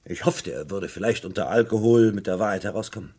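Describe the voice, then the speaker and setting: A man playing a disillusioned, bitter police detective in a crime radio play, speaking emphatically in a husky voice. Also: on the low side, fast, warm tone. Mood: frustrated. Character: embittered, disillusioned English police forensic detective. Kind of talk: German crime radio play, recounting a case.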